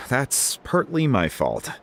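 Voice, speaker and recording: A man voicing an easygoing, caring older mentor in a video game, speaking in a measured, reflective storytelling way. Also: medium pitch, wildly swooping pitch, soft background music, exaggerated voice. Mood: rueful, philosophical. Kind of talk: game voice acting.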